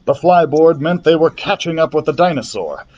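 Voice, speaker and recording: A male librarian reading a children's adventure book aloud in a steady storytelling manner, with the odd slip. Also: a little high, normal speed, highs cut off. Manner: steady storytelling narration with small slips.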